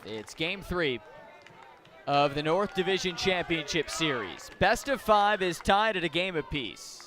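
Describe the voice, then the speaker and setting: A man calling baseball play-by-play live on the radio in an animated way, with dramatic pauses. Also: a little high. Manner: animated, dramatic pauses, swelling on big plays. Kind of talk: live radio sports commentary. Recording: crowd and music behind the commentary. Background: ballpark crowd and stadium music.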